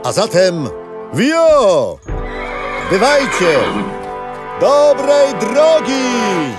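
A man's voice: very high-pitched, big pitch swings, very slow, exaggerated voice. An actor playing a gallant man of prewar times in a children's radio play, speaking in a courtly, declamatory way. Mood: hearty. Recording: music behind.

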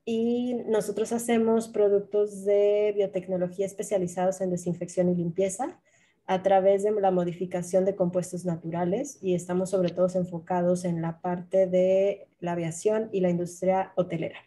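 Brief: woman panelist, businesslike, monotone delivery, very dark voice. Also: medium pitch, moderate pace. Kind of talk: describing her company on a video call.

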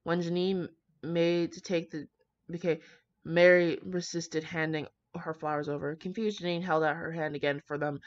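A woman's voice: low-pitched, level tone, moderate pace, lo-fi sound. A young woman reading fan fiction aloud, with brash, slangy, profane casual commentary.

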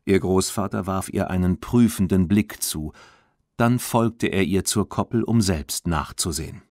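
A man reading a children's audiobook as an expressive, clearly enunciated scripted narration. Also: low voice, unhurried, no room sound, clean studio sound.

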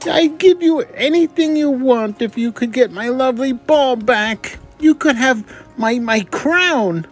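A man's voice: very high-pitched, a little slow, soft background music, pitched above the performer's own voice. A man voicing a spoiled young storybook princess, melodramatic and impatient. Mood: wailing, desperate. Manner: wailing, melodramatic, snappish. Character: spoiled, dramatic little girl princess, wailing and impatient.

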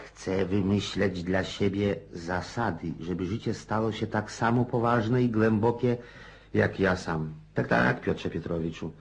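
Husky, pompous old landowner. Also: male voice, on the low side, unhurried, exaggerated voice. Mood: self-important musing. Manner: pompous, rambling, folksy. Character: blustering, self-important old countryside landowner. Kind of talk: radio play acting.

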